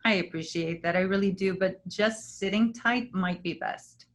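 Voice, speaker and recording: A woman's voice: mid-range voice, normal speed, close to an everyday voice. A woman playing the kindly but firm leader of travelling actors in a staged play reading. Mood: brisk, gentle.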